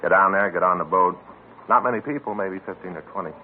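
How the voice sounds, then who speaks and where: A man's voice: sits low, fast talker, a little hiss or hum, close to an everyday voice. A man playing a rambling, rueful police partner. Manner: rambling, aggrieved storytelling. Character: chatty male police partner, grumbling about bad luck. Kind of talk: 1950s radio drama acting. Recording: thin, lo-fi old radio broadcast.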